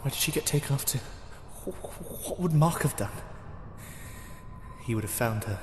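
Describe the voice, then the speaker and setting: A man's voice: mid-range voice, animated, normal speed, reverberant, big-room sound, a little hiss or hum, lightly acted. A man playing an anguished, grieving prisoner in an audio drama, stammering and emotional. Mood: bitter, defeated. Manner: emotional, stammering, swinging from whispers to screams.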